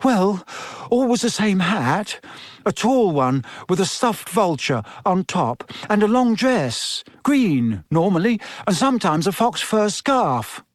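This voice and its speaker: Nervous young schoolboy voiced by a man for a storybook: high-pitched, hesitant, unsure of himself. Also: very animated, slow pace, no echo, studio quality, full character voice. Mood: uncertain. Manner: hesitant, uncertain. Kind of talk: audiobook character voice.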